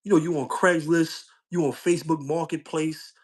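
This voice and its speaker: Middle-aged male streamer with a slightly higher voice, loose street slang, and a repetitive, testifying delivery. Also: fairly even intonation, moderate pace, slightly rough. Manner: street slang, loops back with "you know what I'm saying". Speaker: social media streamer. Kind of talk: casual livestream talk.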